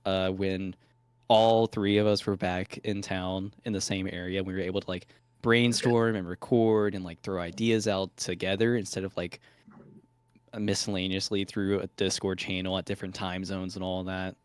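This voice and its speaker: A man's voice: deep voice, little pitch movement, moderate pace, smooth, no room sound. A young man in a casual call interview, talking hesitantly with many fillers. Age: young adult. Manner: hesitant, filler-heavy speech.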